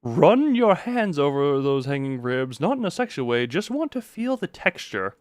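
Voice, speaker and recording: A man's voice: a little high, very animated, moderate pace, no echo, clean studio sound. A man chatting casually on a gaming livestream and reading game notes aloud, rambling, easily sidetracked and stumbling over words. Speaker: live streamer.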